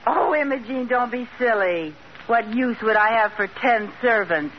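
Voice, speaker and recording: An actress playing a boastful, fibbing housewife in an old radio comedy, bluffing breezily with comic hesitations. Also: female voice, on the high side, lively intonation, normal speed, natural voice. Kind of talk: 1940s radio comedy acting. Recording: noisy, dull old radio recording.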